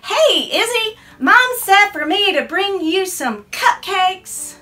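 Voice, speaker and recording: A woman voicing a bossy, girly big sister who dresses as a fairy, in a high, squealing, sing-song voice. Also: very animated, slow pace, sharp tone, far from the mic, soft background music, exaggerated voice. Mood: excited.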